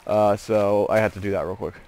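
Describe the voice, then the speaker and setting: A man's voice: lowish voice, fairly even intonation, a little fast, darkish tone. A man giving casual, rambling game commentary as host, drifting into joking asides. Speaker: host of a gaming series. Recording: clear background noise with clicks.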